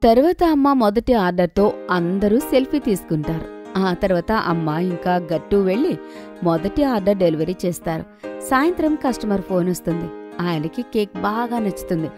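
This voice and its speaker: Woman narrating children's stories, mid-pitched. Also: lively intonation, moderate pace, over music. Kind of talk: scripted children's story narration.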